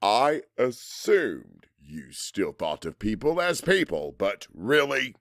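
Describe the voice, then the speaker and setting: An actor playing a blunt, disillusioned young man in an audiobook, speaking in a deliberate, reproachful way. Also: mid-range voice, sing-song, a bit bright, no room sound, studio quality. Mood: bitter, disappointed. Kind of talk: audiobook character acting.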